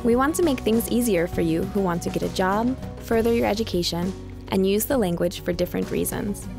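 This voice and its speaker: A woman reading a scripted informational voice-over in an even, measured way. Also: mid-range voice, gravelly, music in the background. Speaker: voice-over narrator and interpreter.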